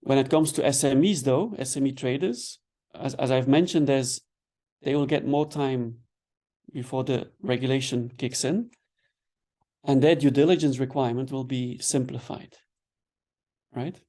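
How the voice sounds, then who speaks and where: A man's voice: medium pitch, moderate pace. A man giving an online lecture, explaining patiently and checking in with "right?" as he goes. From Belgium. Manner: relaxed, explanatory, frequent "right?" tags and repeated words. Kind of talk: online webinar lecture answering questions.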